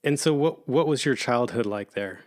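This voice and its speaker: A man's voice: medium pitch, expressive, moderate pace. A man hosting a podcast, asking questions in an easygoing way with casual slang. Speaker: podcast host. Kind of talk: casual interview.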